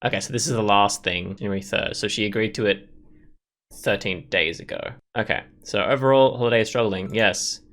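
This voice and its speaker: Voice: deep voice, a little fast, fairly bright tone. A gaming video host giving casual let's play commentary, thinking aloud and piecing things together along the way.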